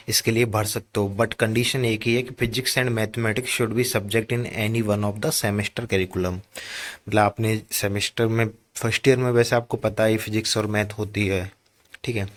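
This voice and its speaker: An Indian man presenting an informal, instructive exam-coaching explainer, mixing in English terms and often checking in with the listener. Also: on the low side. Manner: instructive, mixes Hindi with English terms, repeats check-in tags. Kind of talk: informal explainer of a job notification.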